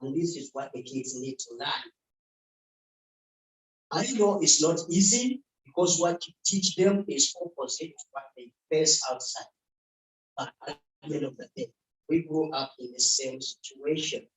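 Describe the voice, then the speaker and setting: A man's voice: fairly high pitch, measured pace. A middle-aged Ugandan man, speaking earnestly in short phrases as a community speaker at a public event. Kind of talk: unscripted public talk. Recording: roomy sound picked up from far off.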